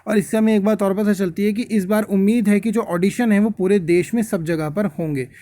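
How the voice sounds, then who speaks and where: A man's voice: high-pitched, fairly even intonation, fairly quick, mellow, dry recording. A man giving a casual, instructive explanation to viewers, mixing in English words and often checking that they follow. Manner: instructive, repeatedly checks with "okay?". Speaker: quiz-prep tutor, channel host.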